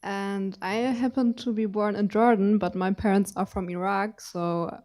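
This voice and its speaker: A woman's voice: medium pitch, steady tone, unhurried. A young woman from Germany in her twenties, introducing herself on a panel in a shy, hesitant way. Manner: shy, hesitant, laughs softly. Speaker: panelist, student.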